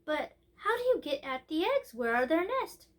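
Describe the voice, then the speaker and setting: A woman's voice: extremely high pitch, very animated, moderate pace, voice pushed up. A woman voicing a curious, chatty little princess girl in a storybook, asking things in an eager, childlike way. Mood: eager, delighted.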